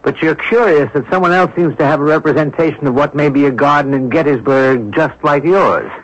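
An actor playing an observant, composed amateur sleuth in a radio mystery drama, reasoning aloud in a deliberate, inquisitive way. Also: male voice, on the high side, natural voice. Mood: probing. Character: observant, composed amateur sleuth, a man puzzling over clues. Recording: dull lo-fi old radio broadcast recording.

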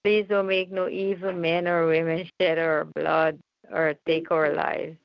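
A woman with a fairly low, gravelly voice prays fervently and spontaneously. She pleads, circling back to the same appeals. The audio is dull and lo-fi. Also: level tone, unhurried, dry recording. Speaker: prayer group member leading prayer. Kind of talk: fervent extemporaneous prayer.

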